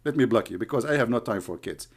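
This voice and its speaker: A man's voice: medium pitch, animated, fast talker. Scornful man. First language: Arabic. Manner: mocking, scornful, calls names. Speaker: online commentator. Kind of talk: heated argumentative commentary.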